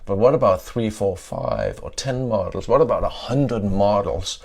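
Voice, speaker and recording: A middle-aged man with a Danish accent giving a conference talk. He often checks in with a questioning "yeah?" and pauses on "uh" fillers. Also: lowish voice, lively intonation, creaky, a little mellow. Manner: adds "yeah?" to check in with listeners; frequent "uh" fillers and restarts. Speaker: conference speaker. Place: conference stage.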